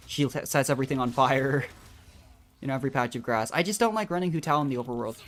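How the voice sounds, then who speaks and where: A woman's voice: extremely low pitch, quick pace. A woman doing casual livestream gameplay commentary, musing and thinking aloud as she goes. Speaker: game streamer.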